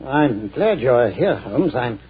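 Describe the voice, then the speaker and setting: An actor playing a hearty army general in a 1940s radio drama, often hesitating with "uh". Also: male voice, medium pitch, very animated, slow pace, husky, exaggerated voice. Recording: tinny old radio broadcast.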